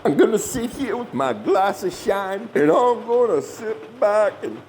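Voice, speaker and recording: A shameless Southern hillbilly monster hunter, pitched high and swinging all over in pitch. He is glib, folksy and self-righteous, bossing his kin around in a comedy horror audio play, with faint noise behind him. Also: very animated, unhurried, darkish tone. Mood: mock indignant. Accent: rural Southern US, hillbilly dialect. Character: bossy, shameless hillbilly monster hunter, scheming older brother.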